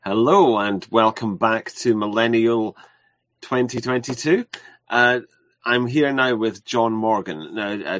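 A man with a fairly low voice hosts a casual livestream interview. He talks haltingly, restarting sentences and filling pauses. The sound is dry and close. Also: fairly bright tone, no room sound. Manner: hesitant, many restarts and fillers. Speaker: livestream host.

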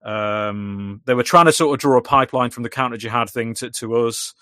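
A man's voice: mid-range voice, a little fast, pushed and bright, clean tone, no echo, studio quality. A British man with a droning voice, chatting casually as a guest and rambling with many restarts. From Britain. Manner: rambling, repeats words when restarting. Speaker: YouTuber guest. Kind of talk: casual chat.

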